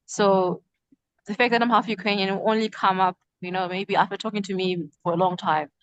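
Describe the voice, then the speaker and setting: A woman's voice: mid-range voice, steady tone, a little fast, a bit bright, no room sound, lo-fi sound. Hesitant young woman from Zimbabwe, dry. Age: young adult. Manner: hesitant, many fillers. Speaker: medical student panelist. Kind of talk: panel interview answers.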